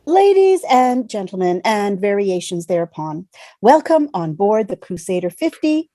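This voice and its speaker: A woman's voice: medium pitch, big pitch swings, a little slow, warm tone, no echo. A woman playing a polite space-shuttle hostess reciting scripted announcements in a fan table read. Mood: formal, announcing. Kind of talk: fan table read over video call.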